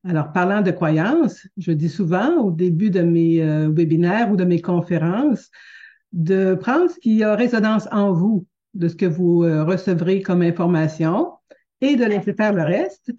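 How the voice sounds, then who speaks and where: A woman from Quebec with a Québécois accent, hosting a live webinar as a teacher, talking unscripted with many fillers and restarts in a gentle, earnest way. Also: on the low side, a little slow. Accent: Québécois French. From Quebec, Canada. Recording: dull lo-fi webinar audio.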